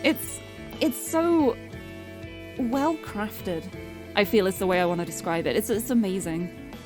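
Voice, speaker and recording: A young woman streamer chatting casually and cheerfully, her voice getting a little hoarse and tired. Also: mid-range voice, expressive, unhurried. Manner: bubbly, chatty, restarts words often. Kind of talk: casual live-stream chat. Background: background game music.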